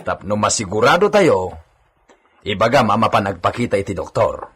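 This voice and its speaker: A husky-voiced male servant played by a man in a radio drama, deferential to his masters and teasing with everyone else. His rough, breathy tone moves up and down animatedly as he talks. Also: lowish voice, very animated, moderate pace, fairly bright tone, exaggerated voice. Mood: concerned. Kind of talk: Ilocano radio drama acting.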